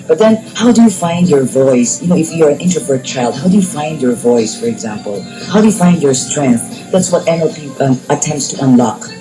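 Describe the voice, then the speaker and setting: A woman's voice: low voice, animated, fairly quick, few pauses, poor audio. Woman explaining over background music. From Philippines. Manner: explanatory, mixes in Tagalog, frequent fillers. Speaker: life coach and therapist, interview guest. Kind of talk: radio interview answers. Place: radio studio. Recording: music playing underneath.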